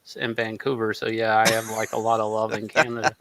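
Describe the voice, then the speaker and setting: A man chatting casually as a podcast guest, telling stories in an animated, repetitive way. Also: fairly low pitch, fast. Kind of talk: casual chat.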